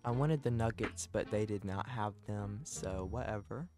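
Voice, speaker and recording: A man's voice: lowish voice, moderate pace, a little mellow. A man improvising a video game roleplay as host, chatting in an exclamatory, bantering way. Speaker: host playing a parent in a roleplay. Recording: background music throughout. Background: music.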